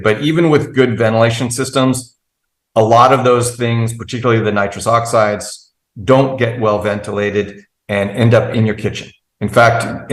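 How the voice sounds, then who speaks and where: Earnest lower-voiced man, echoey. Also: fairly bright tone. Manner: earnest, methodical, frequent restarts and fillers. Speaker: guest expert. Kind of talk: explanatory interview answer.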